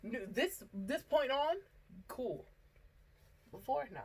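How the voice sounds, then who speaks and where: A woman's voice: high voice, animated, slow, husky. A young woman with a congested, stuffy nose, chatting casually and teasing on a podcast. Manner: teasing, laughs often. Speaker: podcast co-host. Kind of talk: casual chat.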